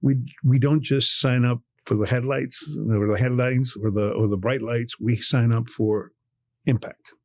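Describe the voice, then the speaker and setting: A man's voice: mid-range voice, fairly even intonation, measured pace, darkish tone, no room sound. An older man from Cuba, a bank executive speaking passionately in a podcast interview, often repeating words for emphasis. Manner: passionate, repeats words when stressing a point.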